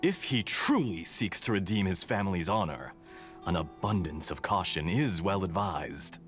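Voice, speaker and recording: An actor playing a suave, mocking villain in a video game, theatrically polite, smug and sly. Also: male voice, low-pitched, lively intonation, normal speed, fairly bright tone. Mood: mock politeness. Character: suave, mocking male villain posing as mechanic. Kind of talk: dramatic video game voice acting. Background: background music.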